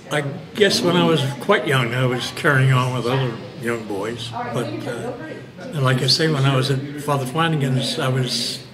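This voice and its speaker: A man's voice: mid-range voice, lively intonation, moderate pace, crowd noise, rough recording. A man in his eighties, reminiscing with wandering phrasing in a documentary interview. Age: 80s.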